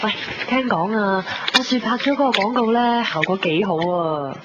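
An actress playing a lively, teasing, gossipy friend in a Hong Kong Cantonese radio drama. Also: female voice, mid-range voice, moderate pace, natural voice. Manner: playful, chatty. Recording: dull lo-fi radio recording with music.

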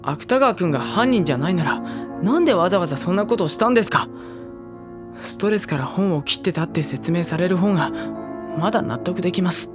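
A guarded teenage schoolboy, voiced by an actor in a Japanese audio drama, with a medium-pitched, youthful voice. He is skeptical and introspective, polite yet blunt, over faint background music. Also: animated, brisk, natural voice. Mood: irritated, doubting. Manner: polite but blunt, argumentative. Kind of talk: Japanese audio drama dialogue and inner narration.

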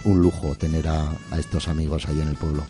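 A man's voice: very deep voice, little pitch movement, fast talker, warm tone, faint music behind. A middle-aged man talks as an interview guest in an effusive, colloquial, rambling way. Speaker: interview guest, museum keeper and musician. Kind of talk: casual interview chat.

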